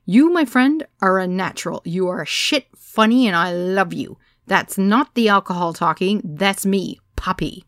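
A woman doing a loud tipsy Greek woman. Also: medium pitch, lively intonation, normal speed, few pauses, dry recording, clean studio sound, lightly acted. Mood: effusive. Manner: loud, effusive.

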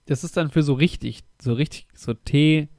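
A man's voice: a little high, moderate pace, a little mellow, no echo. Casual podcast co-host, young man, slightly under the weather with a cold, slangy and talkative, close dry sound. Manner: chatty, slangy.

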